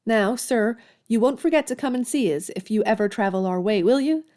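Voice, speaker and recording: A woman's voice: a little high, a little fast, darkish tone, natural voice. An actress playing a frank, spirited young woman in an audio drama, speaking earnestly and candidly. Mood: bright, affectionate.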